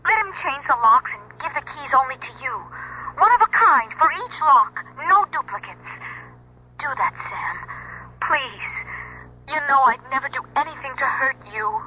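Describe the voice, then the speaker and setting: A woman's voice: high voice, expressive, moderate pace. An actress playing a crook's devoted young girlfriend, earnest and imploring, in old-time radio melodrama style. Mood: urgent, coaxing. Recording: muffled, noisy old radio recording.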